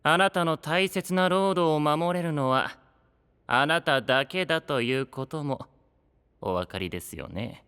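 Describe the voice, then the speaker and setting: An actor playing a sly, coolly polite young knight in an anime voice drama, speaking formally with a teasing, probing edge. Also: male voice, a little high, moderate pace, sharp tone, clear, resonant tone. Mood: cool, pressing. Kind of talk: Japanese anime voice drama acting. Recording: long reverb, music behind.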